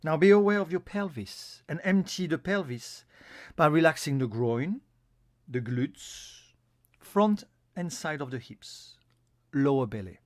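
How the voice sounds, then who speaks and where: A man giving a guided yoga nidra meditation as an instructor, in a calm, soothing, instructional manner. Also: a little high, unhurried, no room sound, clean studio sound.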